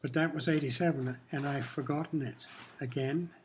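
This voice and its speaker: An older man from Kenya whose first language was Swahili, speaking in a measured, reflective way while answering questions in an interview. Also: on the high side, steady tone, a little hiss or hum. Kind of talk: casual interview talk.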